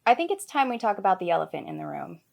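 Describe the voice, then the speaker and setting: A woman playing an earnest, skeptical local TV field reporter in a mock news report, measured and probing. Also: on the low side, very animated, vocal fry, heavily acted.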